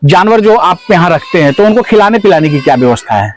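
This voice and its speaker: A man's voice: high-pitched, expressive, fast talker, slight background noise. A man hosting a farming video, explaining things and summing up as he presents and interviews at a goat farm. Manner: explanatory, repeats and sums up answers. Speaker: host of a farming video channel.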